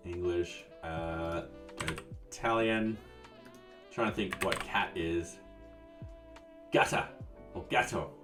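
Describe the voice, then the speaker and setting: A man with a fairly low voice talks casually as he runs a live coding stream, answering his chat as it comes in. He sounds relaxed and tends to trail off mid-thought. He sits somewhat away from the mic, and faint music plays in the background. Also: takes it slowly, long pauses, far from the mic. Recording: voice a bit off-mic, soft music behind.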